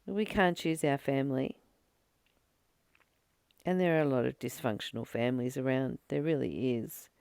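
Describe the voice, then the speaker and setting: A woman reading a spiritual study text aloud and explaining it informally, in a halting, reflective way with pauses between short phrases. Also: a bass voice, gravelly, darkish tone, smooth, no room sound, studio quality. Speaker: spiritual study reader and commentator. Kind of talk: slow read-aloud study with informal commentary.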